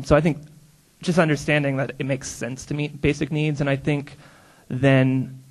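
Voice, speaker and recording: A man answering on a panel in a measured, hedging way. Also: mid-range voice, gravelly, breathy edge, rough recording.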